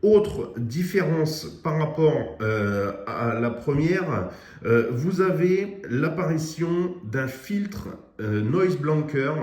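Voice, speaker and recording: A man's voice: a little high, a little mellow, roomy sound. A man giving a casual product review of a radio, with lots of fillers and restarts. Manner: hesitant, many fillers and restarts.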